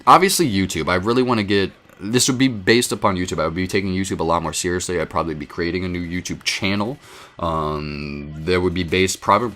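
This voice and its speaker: Young male gaming vlogger, very low voice, quick rambling delivery, lots of restarts. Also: a bit bright. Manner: rambling, many fillers and restarts. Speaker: gaming video creator. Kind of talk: casual rambling vlog talk.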